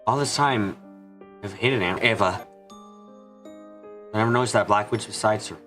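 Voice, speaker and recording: A man giving an earnest amateur dramatic reading of a visual novel, halting for dramatic pauses. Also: fairly low pitch, fairly bright tone. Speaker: amateur fan dubber. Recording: music behind the voice. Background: background music.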